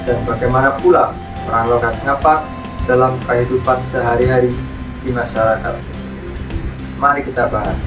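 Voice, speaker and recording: Guy hosting a podcast, scripted and clear, roomy echo, guitar music behind. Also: mid-range voice, steady tone, moderate pace, long pauses. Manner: clear, informative, welcoming. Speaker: podcast host. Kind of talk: scripted narration. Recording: echoey, distant with music bed.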